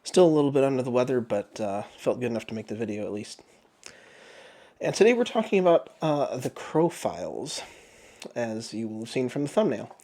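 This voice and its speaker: Man with a flu-roughened voice. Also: mid-range voice, mellow. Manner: rambling, frequent throat clearing and filler words. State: sick with the flu, voice sounds off. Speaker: book review channel host. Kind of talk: casual chat.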